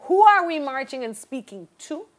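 Emphatic Jamaican woman with a higher voice. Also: very animated, normal speed. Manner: emphatic, probing. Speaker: television morning show host. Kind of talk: studio interview. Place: television studio. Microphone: lapel.

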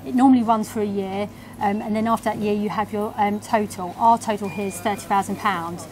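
A woman's voice: mid-range voice, steady tone, brisk, darkish tone. Woman, 40s, chatty with ums, noisy convenience store recording. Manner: friendly, chatty, frequent ums. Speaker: retail business manager. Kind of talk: on-site interview. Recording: noisy shop background. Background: shop ambience.

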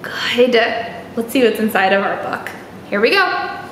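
A woman's voice: a little high, lively intonation, unhurried, a bit bright. A young woman hosting a children's storytime, chatting and reading aloud in an exaggerated, encouraging way with questions to the listener. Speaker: library storytime host. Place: small indoor room. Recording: echoey room with faint background noise.

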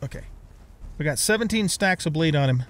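A middle-aged man with a somewhat high voice hosts a let's play. He comments casually and reacts as he goes, talking to himself and the game with some mild swearing. Some background noise sits behind his voice. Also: expressive, brisk.

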